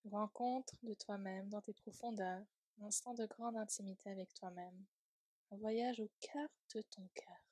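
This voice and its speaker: A woman leading a guided yoga nidra relaxation in a soft, breathy, soothing voice, gently trailing off at times toward a whisper. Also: mid-range voice, fairly even intonation, a little slow, dry recording.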